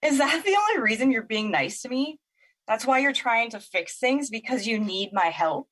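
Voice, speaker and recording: A woman's voice: fairly high pitch, lively intonation, normal speed, a bit bright. A woman playing a bitter, sarcastic young woman in a naturalistic audio drama, exasperated in manner. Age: young adult.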